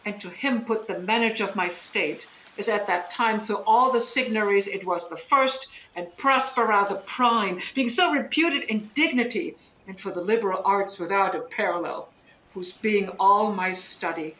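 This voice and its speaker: A woman's voice: mid-range voice, moderate pace, fairly bright tone, lightly acted. A woman playing a bitter, scholarly deposed duchess and sorceress mother in Shakespearean audio drama, speaking in a declamatory, emphatic way that demands attention. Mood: bitter, insistent. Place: indoor room, recorded over internet link. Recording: roomy remote recording, noise.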